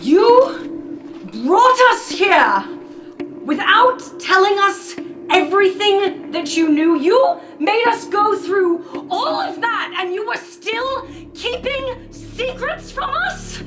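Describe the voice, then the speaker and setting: An exasperated, sulky male student in a fantasy game, played by a woman, with a very high voice that swings around a lot. He complains sarcastically and drily over prominent music. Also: sing-song, slow pace, heavily acted. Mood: hurt, sarcastic. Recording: music behind the voice.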